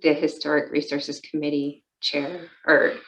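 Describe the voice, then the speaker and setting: A woman's voice: low voice, little pitch movement, unhurried, poor audio. A woman speaking informally and candidly as a city official chairing a conference call.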